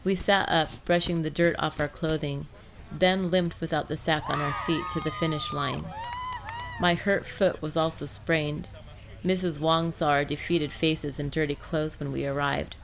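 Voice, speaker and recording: A woman's voice: low voice, fairly even intonation, unhurried, noisy background. A woman reading scripted first-person fiction as an audiobook narrator.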